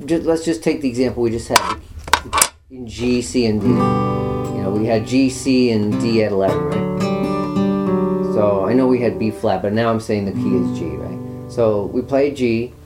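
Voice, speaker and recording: A man's voice: fairly low pitch, normal speed. A man giving a guitar lesson, patiently walking students through chords step by step. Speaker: guitar teacher. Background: guitar playing.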